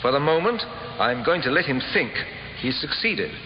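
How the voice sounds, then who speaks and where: An actor playing a composed police inspector in a radio drama, questioning in a measured, dry and politely firm way. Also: male voice, medium pitch, very animated, natural voice. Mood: firm, confiding. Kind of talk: 1950s radio drama acting. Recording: dull, noisy, echoey old radio recording.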